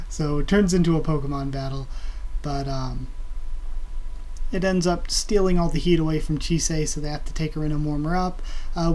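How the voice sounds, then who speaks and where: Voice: medium pitch, a little mellow, rough recording. Slightly sick casual anime reviewer. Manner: rambling and casual, with many fillers and blunt swearing. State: a little sick with a cold, voice raspy and quiet.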